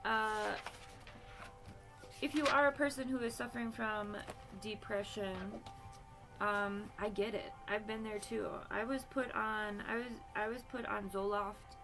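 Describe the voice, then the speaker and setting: A woman in her late thirties talks in a loose, unscripted monologue, pausing to search for words and tossing in side remarks. At times she leans away from the mic and her voice drops to a low aside, while a faint bed of music sits behind her. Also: fairly even intonation, low-quality recording. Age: 30s. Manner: candid and conversational, many fillers and asides. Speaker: podcast host. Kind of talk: casual monologue.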